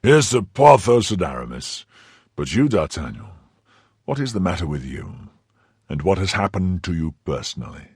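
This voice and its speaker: A man's voice: low-pitched, very animated, normal speed, a little mellow, husky, no echo, clean studio sound, full character voice. A man voicing a weary, hard-drinking nobleman musketeer who speaks in a languid, dismissive way.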